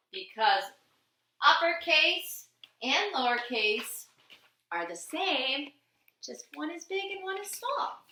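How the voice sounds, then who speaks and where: A woman's voice: high voice, sharp tone, recorded from a distance, slight background noise. A woman teacher giving a patient handwriting lesson to young children, in a sing-song way with simple repeated phrases and questions. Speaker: primary school teacher. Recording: echoey room, voice away from the mic.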